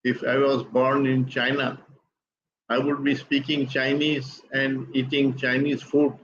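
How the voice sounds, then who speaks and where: An older man from India with an Indian English accent, giving a calm, deliberate explanation as a guest speaker. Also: mid-range voice, dull audio. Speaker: guest speaker on spiritual teachings. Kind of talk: measured explanatory talk.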